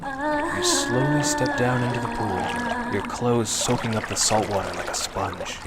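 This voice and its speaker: Animated man narrating horror over music. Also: mid-range voice, a little slow. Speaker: narrator of a horror audio drama. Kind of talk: dramatic second-person horror narration. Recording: music behind the voice.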